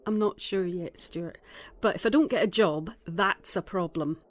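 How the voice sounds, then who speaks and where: A woman's voice: on the low side, moderate pace, close to an everyday voice. An actress playing a guarded, dry and troubled Scottish woman in a naturalistic audio drama, with music behind her. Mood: guarded, wry. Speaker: actor.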